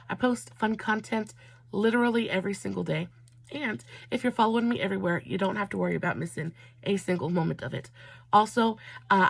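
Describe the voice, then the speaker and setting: A woman vlogger, slightly hoarse from a cold, chatting effusively while doing her makeup and telling a story. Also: mid-range voice, no room sound. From Southern United States. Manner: warm, effusive, drawn-out emphatic phrasing. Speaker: beauty vlogger.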